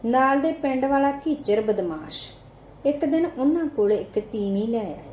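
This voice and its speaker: Mellow Punjabi woman narrating in an echoey room. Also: mid-range voice. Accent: Indian Punjabi. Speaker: audiobook narrator. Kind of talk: scripted short story narration. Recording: roomy echo, faint background noise.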